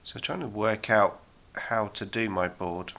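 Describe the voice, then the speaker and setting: A man in his thirties hosting a miniature painting chat, talking casually with many ums and a slightly hoarse voice from a sore throat. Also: on the low side, unhurried. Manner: relaxed, rambling, many ums. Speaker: hobby painting channel host.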